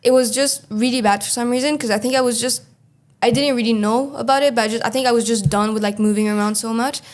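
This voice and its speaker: Fairly high-voiced woman podcast host. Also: fairly even intonation, fast talker. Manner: encouraging, with frequent soft "mm-hmm" listening sounds. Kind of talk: casual interview chat.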